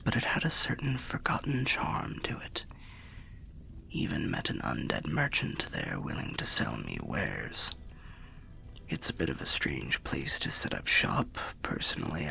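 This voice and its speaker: A man voicing a weary old undead wanderer telling fantasy tales in ASMR roleplay, half whispered and gravelly, with a measured, wry, old-fashioned way of speaking. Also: sharp tone, heavily acted. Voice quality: breathy whispering, gravelly low voice. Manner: measured, archaic, wry storyteller.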